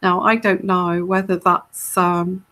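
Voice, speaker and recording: A woman with a soft, soothing voice hosting a live tarot Q&A, chatting casually and rambling with many fillers and restarts. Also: fairly low pitch, little pitch movement, takes it slowly. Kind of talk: casual live-stream chat.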